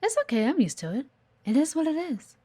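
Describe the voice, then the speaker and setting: Woman doing a bossy exec assistant lady for audio roleplay, highish voice, super expressive, guarded but earnest, kinda fed up. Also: fairly quick. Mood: resigned, frustrated. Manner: exasperated, assertive, frequent sighs. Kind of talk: audio roleplay acting addressed to the listener.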